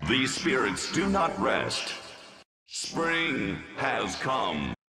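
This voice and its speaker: An age-old tree guardian, intones solemnly with dramatic swings. The voice echoes over loud music in fantasy game style. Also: male voice, medium pitch, sing-song, very slow, full character voice. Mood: solemn, commanding. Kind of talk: theatrical fantasy game declamation.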